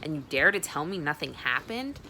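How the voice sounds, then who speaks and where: A woman's voice: sits low, very animated, fairly quick, very noisy. A woman voicing a stern, worn-out father in a storybook reading. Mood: angry. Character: stern weary father, grandfatherly.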